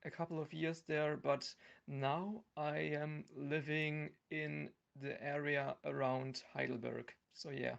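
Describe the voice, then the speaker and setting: A man's voice: on the high side, little pitch movement, slow pace, creaky, fairly bright tone, muffled recording. A man with a German accent giving brief, hesitant answers as a guest in a casual chat. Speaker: podcast guest.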